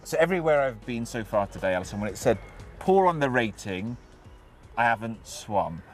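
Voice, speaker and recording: A man's voice: mid-range voice, very animated, normal speed, soft background music. A man speaking as a television reporter on location outdoors. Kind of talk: piece to camera and interviewing. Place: outdoors by a river.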